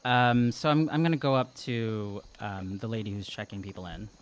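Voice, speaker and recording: A man in his thirties describing his moves in a tabletop game, halting and deliberate, close to a studio mic. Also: on the low side, steady tone. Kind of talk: casual improvised game talk. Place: small indoor podcast studio. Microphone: close podcast microphone on a stand.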